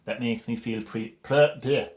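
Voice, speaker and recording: A man's voice: mid-range voice, steady tone, unhurried, a little mellow, roomy sound. An Irish man giving casual, joking commentary while playing a game, swearing at enemies. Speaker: gaming channel host.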